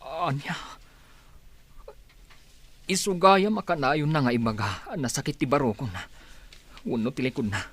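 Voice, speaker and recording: A man's voice: medium pitch, normal speed, long pauses, fairly bright tone, husky. A man playing an agitated older brother who is alarmed for his mother in a radio drama. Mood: worried.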